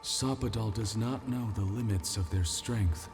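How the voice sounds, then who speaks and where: A man playing a grave, reverent ancient spirit in a fantasy game, speaking formally and deliberately. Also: on the low side. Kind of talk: fantasy video game acting. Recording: long reverb with clear music behind.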